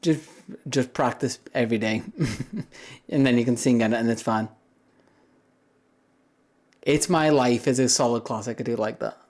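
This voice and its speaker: A young man with a deep voice chatting casually with viewers on a livestream, rambling and laughing often between thoughts. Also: moderate pace, pauses often, darkish tone.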